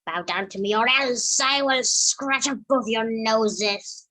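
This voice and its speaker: Woman reading a bossy monkey, slow and bright. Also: fairly high pitch. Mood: imperious. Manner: reading aloud in measured phrases. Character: bossy, threatening monkey lord of the forest.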